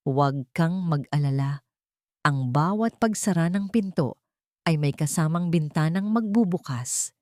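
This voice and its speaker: A woman voicing a kind, gentle old grandfather in a narrated love story. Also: low-pitched, animated, unhurried, no room sound, clean studio sound. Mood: reassuring.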